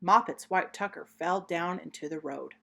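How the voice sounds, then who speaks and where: A woman reading a children's story aloud, expressive, deliberate and emphatic. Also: fairly low pitch, gravelly.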